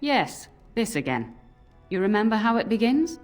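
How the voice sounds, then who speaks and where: An actress playing an old nanny in a game, scolding but fond, given to telling cautionary tales. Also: female voice, mid-range voice, very animated, normal speed, natural voice. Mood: teasing, fond. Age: older. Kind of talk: scripted game voice acting, storytelling. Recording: music under the voice.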